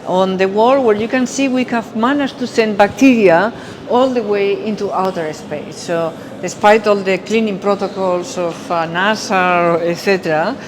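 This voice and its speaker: A woman with a Spanish accent walks listeners through an exhibition she curated. Her delivery is halting and explanatory, at a middle pitch. A clear ambient hum of the gallery sits behind her voice. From Spain. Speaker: exhibition curator, architecture professor. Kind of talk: guided exhibition walkthrough.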